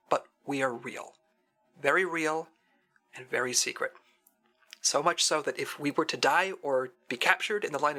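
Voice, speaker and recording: An actor playing an earnest secret agent who records a solemn, dramatic farewell message in an audio drama. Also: male voice, mid-range voice, bright, forceful tone. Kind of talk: audio drama acting, scripted monologue.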